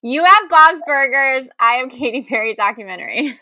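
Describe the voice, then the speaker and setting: Bright, high-voiced woman, gushing chat. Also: lively intonation, moderate pace, dry recording. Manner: gushing, enthusiastic. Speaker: podcast co-host. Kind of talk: casual chat.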